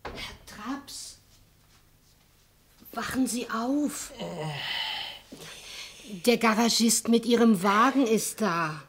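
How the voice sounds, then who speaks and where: An actress playing a polite, formal housekeeper in a radio play, with a husky voice. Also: female voice, a little high, extremely slow, long pauses, a little hiss or hum. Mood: polite, attentive. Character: polite housekeeper waking a guest. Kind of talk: German-language radio play acting.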